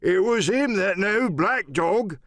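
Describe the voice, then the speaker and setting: A man playing an angry, mutinous old seaman in an audiobook, with rough, aggressive sailor talk. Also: high voice, lively intonation, slow pace, a bit bright, dry recording, studio quality, pitched above the performer's own voice, full character voice.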